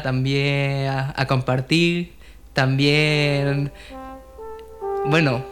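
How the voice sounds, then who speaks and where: A man's voice: medium pitch, fairly bright tone. A man from co-hosting a radio show, in casual chat and read-out narration. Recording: faint music under the voice. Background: faint music.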